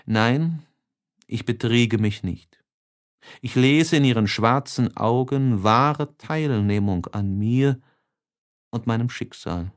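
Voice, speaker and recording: A man's voice: mid-range voice, slow pace, close to an everyday voice. A man voicing a lovestruck, tormented young letter writer in an audiobook, impassioned and given to sighs. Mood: hushed rapture. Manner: impassioned, sighing, swinging between hush and exaltation.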